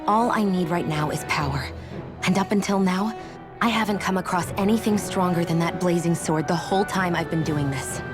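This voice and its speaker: A woman's voice: fairly low pitch, moderate pace, close to an everyday voice. An actress playing a proud, driven young warrior woman, curt and guarded, in a video game cutscene. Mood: guarded, defiant. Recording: music behind.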